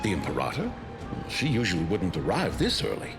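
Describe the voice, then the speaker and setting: A man voicing a courteous, teasing scholarly gentleman in an anime-style game, with theatrical delivery. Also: low voice, wildly swooping pitch, fairly quick, vocal fry, exaggerated voice. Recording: music behind the voice. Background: game music.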